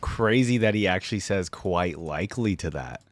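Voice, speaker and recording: A man's voice: low voice, expressive, moderate pace, over music. A man hosting a reaction video, commenting casually and musing aloud to his viewers.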